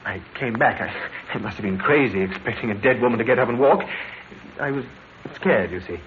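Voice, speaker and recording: An actor playing a nervous, stammering murder suspect in a vintage radio drama. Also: male voice, mid-range voice, lively intonation, fairly quick, big-room sound, natural voice. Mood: confessing. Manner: fretful, stammering, self-justifying. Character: nervous middle-class murder suspect, guilty, indignant. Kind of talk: 1950s radio drama acting. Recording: tinny noisy old radio broadcast.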